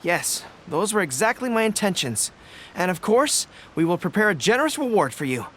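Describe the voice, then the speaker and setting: An actor playing a polite young military general in a video game, speaking in a formal, courteous and earnest way. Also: male voice, sits high, very animated, moderate pace, fairly bright tone, a little hiss or hum.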